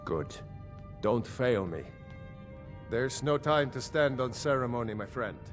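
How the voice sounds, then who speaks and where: An actor playing an authoritative, gracious middle-aged lord in a fantasy video game, speaking in a measured, commanding way. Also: male voice, medium pitch, expressive, faint music behind, lightly acted. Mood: commanding, grateful. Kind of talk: video game character dialogue.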